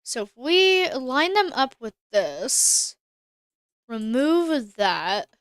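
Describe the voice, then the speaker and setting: Woman game streamer, a little high, bright and clear, casual running commentary with chat asides. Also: sing-song, extremely slow, no echo, clean studio sound. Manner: chatty, thinks aloud, answers chat.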